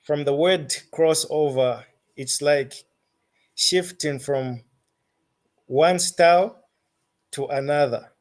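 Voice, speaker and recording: A man with a Zambian accent answering interview questions as a musician, phrasing his thoughts deliberately and haltingly. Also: on the high side.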